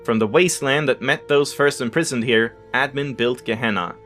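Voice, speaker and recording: A man's voice: medium pitch, moderate pace, fairly bright tone. A man hosting a let's play, reading in-game text aloud with casual asides and tripping over unfamiliar words now and then. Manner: stumbles over unfamiliar words, self-correcting. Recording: music behind the voice. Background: game music.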